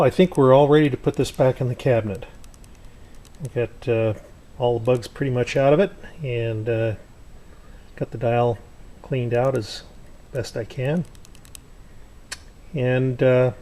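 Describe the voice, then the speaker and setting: A man restoring an old radio, talking casually to himself with lots of pauses and fillers. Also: medium pitch. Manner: relaxed, thinking aloud with many fillers. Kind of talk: casual workshop narration.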